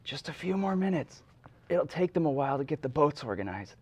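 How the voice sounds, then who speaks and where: A man's voice: fairly high pitch, sing-song, a little fast, a little mellow, a little hiss or hum. A man playing an earnest young man in icy water, pleading in a parody dramatic reading.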